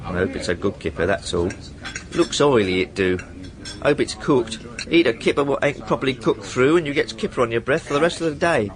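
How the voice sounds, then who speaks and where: Man acting a bossy police sergeant, working-class English accent, gruff and officious, very animated pitch, radio drama, busy crowd behind. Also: brisk, lightly acted. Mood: grumbling. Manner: officious, grumbling, colloquial.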